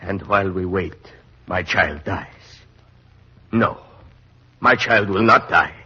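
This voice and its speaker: Desperate father: earnest, intense, low edgy voice with some husk, old-radio sound. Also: slow pace, bright, forceful tone, husky, full character voice. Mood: defiant. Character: desperate, defiant young Greek father of a starving child. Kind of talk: 1940s radio drama acting. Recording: muffled vintage radio recording.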